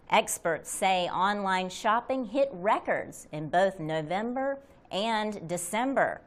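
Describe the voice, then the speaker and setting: A woman presenter reading a scripted economics news report slowly and with careful enunciation. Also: mid-range voice.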